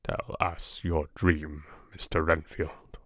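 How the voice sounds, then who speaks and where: A man voicing a stern older professor who speaks in a quiet, grave, measured way. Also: lowish voice, fairly even intonation, clear, resonant tone, dry recording, clean studio sound. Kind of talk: audiobook character voice.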